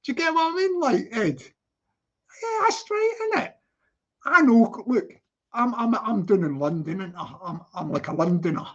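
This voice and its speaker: A man's voice: very high voice, expressive, measured pace, highs cut off. A man with a Scottish accent hosting a casual livestream, greeting viewers by name in a sing-song way and chatting loosely with frequent laughs. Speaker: livestream host. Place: living in London.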